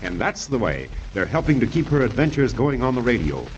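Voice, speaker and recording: Animated vintage radio announcer, man. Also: medium pitch, fairly quick. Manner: emphatic, addresses young listeners directly. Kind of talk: 1930s-40s radio announcing, dramatic recap and sponsor pitch. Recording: muffled old radio broadcast with hiss.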